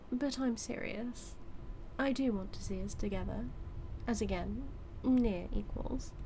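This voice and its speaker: Woman to act British villainess for intimate audio drama; unhurried, smug, seductive teasing of a superhero rival, light ambient noise underneath. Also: mid-range voice, vocal fry, dark tone. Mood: sly, sincere. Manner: teasing, sly, flirtatious, drawn-out delivery. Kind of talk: intimate audio roleplay monologue.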